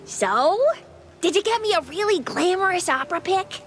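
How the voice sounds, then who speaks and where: A woman playing a young female cartoon mouse in a noir game, eager but halting and awkwardly polite. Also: very high-pitched, animated, faint music behind. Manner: halting, drawn-out. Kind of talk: animated noir game acting.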